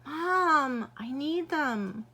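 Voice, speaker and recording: A woman reading aloud, voicing a small girl who pleads and insists, bright and a little higher than her own voice. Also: animated, extremely slow, exaggerated voice. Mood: insistent. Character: young girl, pleading and cheeky.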